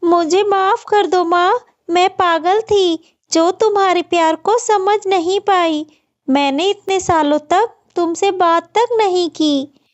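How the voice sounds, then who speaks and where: A woman's voice: extremely high pitch, takes it slowly, voice pushed up. A woman voicing a remorseful young daughter bird in a cartoon, apologetic and emotional. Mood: tearful remorse. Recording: dull, muffled lo-fi audio.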